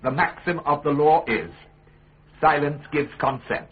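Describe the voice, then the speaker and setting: An actor with a British accent playing a principled, defiant prisoner in a radio courtroom drama, speaking in measured, lawyerly oratory. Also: male voice, fairly high pitch, narrow, tinny audio, natural voice. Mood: measured, firm. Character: principled accused statesman, grave, eloquent, defiant prisoner.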